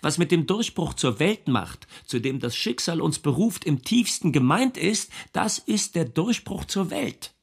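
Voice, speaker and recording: A man's voice: a little high, big pitch swings, a little slow, creaky, hardly any pauses, breathy edge, full character voice. Earnest, lofty patriotic male intellectual. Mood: solemn, fervent. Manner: lofty, declamatory, abstract phrasing. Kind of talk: literary radio drama acting.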